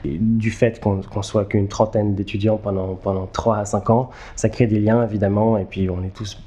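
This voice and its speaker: A man, giving halting, conversational interview answers and repeating words as he thinks. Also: on the low side, little pitch movement, dark tone. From Geneva, Switzerland. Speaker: interview guest, filmmaker.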